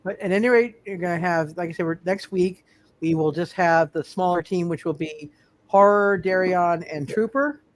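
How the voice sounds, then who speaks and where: Male game master, high-pitched voice, casual, halting, repeats phrases. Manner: halting, repetitive, circling back. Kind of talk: casual sign-off talk on live roleplay show.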